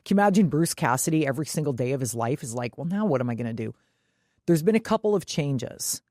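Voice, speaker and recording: A woman's voice: low voice, expressive, fast, mellow, no room sound, clean studio sound. A woman co-hosting a sports podcast, talking casually and emphatically with loose swearing. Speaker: podcast co-host.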